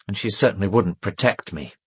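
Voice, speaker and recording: An actor playing an educated, defensive English gentleman and weary secret lover in a British radio drama, speaking politely and guardedly with wry self-deprecation. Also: lowish voice, fairly quick, husky, dry recording. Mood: wry, confessional.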